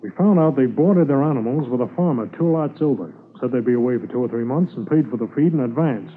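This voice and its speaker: Casting: male actor as dogged Mountie sergeant, mid-pitched, clipped and respectful, old band-limited radio sound. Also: lively intonation, quick pace, slight background noise, close to an everyday voice. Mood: grimly determined. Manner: clipped, matter-of-fact. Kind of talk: 1950s radio drama acting. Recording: dull narrow-band old radio recording.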